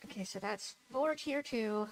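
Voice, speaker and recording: A woman's voice: a little high, a little slow, rough recording. A woman livestreaming a game, talking casually in a nasal voice, rambling and giggling at herself. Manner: rambling, giggly, self-deprecating. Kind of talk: casual gameplay chat.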